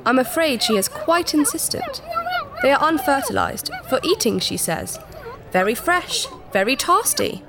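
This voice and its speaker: An actress playing a proud, secretive alien princess in disguise, formal and precise, in a sci-fi audio drama. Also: female voice, sits high, huge pitch swings, a little slow, noisy background, full character voice. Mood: polite, matter-of-fact. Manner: formal, precise, earnest, easily affronted.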